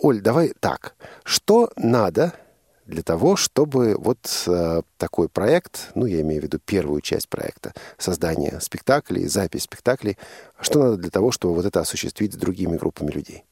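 Male radio host, lowish pitch, informal and chatty, dry studio sound. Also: sing-song, normal speed, creaky, darkish tone, breathy edge, dry recording. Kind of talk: conversational live radio hosting.

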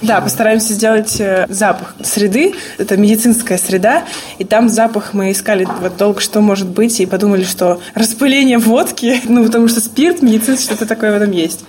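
A woman's voice: medium pitch, steady tone, a little fast, a little mellow. A young woman, a drama student, answering interview questions informally. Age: young adult.

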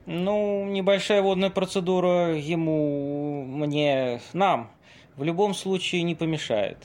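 A man acts out a ghostly legendary seducer trapped in a tramp's body for an audio play. His voice sits fairly high for a man and moves slowly. The speech is florid and lamenting, full of haughty grandeur. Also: a little mellow. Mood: disdainful. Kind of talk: amateur audio play acting.

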